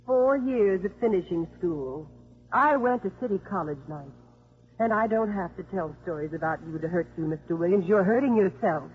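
An actress playing a guarded, wounded, defiant career woman with a husky voice in a 1950s radio drama. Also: on the low side, lively intonation, normal speed. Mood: indignant. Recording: thin, phone-like old radio recording.

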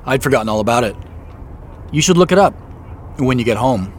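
Actor as animated businessman Joseph, faint car noise. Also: mid-range voice, brisk, lightly acted. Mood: intrigued. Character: Joseph, wealthy company boss on a mysterious quest. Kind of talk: naturalistic audio drama dialogue and narration.

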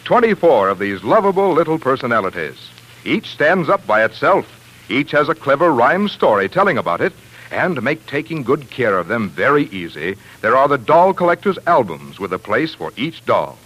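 A man's voice: mid-range voice, big pitch swings, normal speed, a bit bright, poor audio. An American man working as a polished, salesmanlike announcer reading adverts on 1940s radio.

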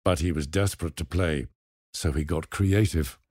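A man reading a scripted documentary narration in a measured, dramatic storytelling manner. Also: sits low, a little mellow, dry recording.

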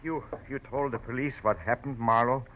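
An agitated, pleading man of mother tongue, played by an actor in a vintage radio detective drama. His halting words come through a muffled recording with plenty of noise. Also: medium pitch, fairly even intonation, natural voice. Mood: anxious. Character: anxious, pleading, deceitful male client with European air. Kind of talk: old-time radio drama acting. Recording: dull, noisy old radio recording.

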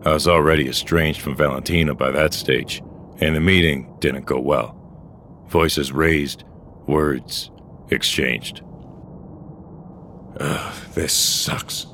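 An actor playing a weary, bitter old courier, a gruff and sardonic loner, in a noir science-fiction audio drama. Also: male voice, extremely low pitch, a bit bright, a little hiss or hum, heavily acted. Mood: weary, resolute. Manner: brooding, world-weary, sardonic. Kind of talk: first-person noir audio drama acting.

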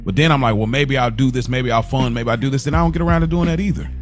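A man with a mid-pitched voice in a casual podcast conversation. He sounds reflective and hesitant, questioning himself and restarting as he thinks aloud. Music plays clearly behind him. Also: racing. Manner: hesitant, searching, self-questioning. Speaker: podcast co-host.

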